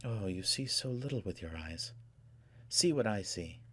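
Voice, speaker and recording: A man voicing a haughty, rapturous young noblewoman in an audiobook, with a soft, husky voice and a languid, taunting delivery. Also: lowish voice, lively intonation. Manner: theatrical, languid, taunting, with drawn-out words.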